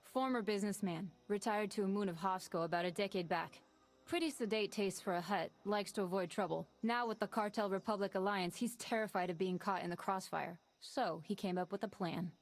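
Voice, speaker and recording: Weary ex-smuggler pilot woman, voiced by an actress; brisk, businesslike, schemer. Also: mid-range voice, faint music behind, lightly acted. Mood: scheming.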